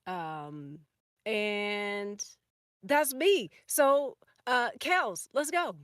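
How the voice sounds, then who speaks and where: A woman's voice: medium pitch, animated, extremely slow, a bit bright, smooth, no room sound, clean studio sound. A woman speaking as a panel guest in casual, informal, laid-back chat. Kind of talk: casual self-introduction and chat.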